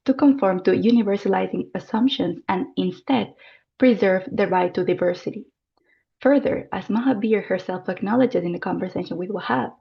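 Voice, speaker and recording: A woman presenting an academic paper in a formal, measured reading style. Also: medium pitch, creaky, dull audio. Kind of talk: reading a prepared conference paper.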